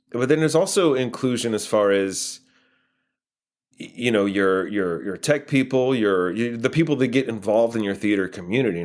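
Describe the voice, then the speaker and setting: An American man answering interview questions casually, in a halting way as he thinks aloud. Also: lowish voice. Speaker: guest playwright and filmmaker.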